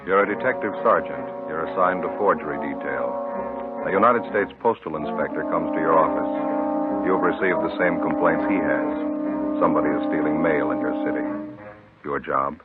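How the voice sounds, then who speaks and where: A man's voice: extremely low pitch, moderate pace. A man announcing in dramatic 1950s radio style, emphatic and declamatory. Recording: tinny old radio recording, loud music.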